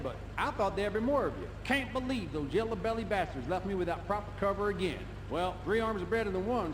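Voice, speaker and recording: Brash veteran arms dealer, high male voice, blustering, game cutscene, echoing hall. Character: brash one-armed war veteran gun dealer. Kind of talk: video game cutscene acting. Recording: echoey hall, faint noise.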